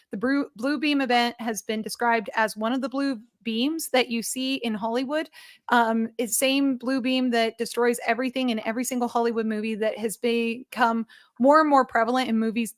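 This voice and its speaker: Higher-voiced woman, emphatic and slangy. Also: dry recording, studio quality. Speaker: livestream panelist. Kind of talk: casual chat.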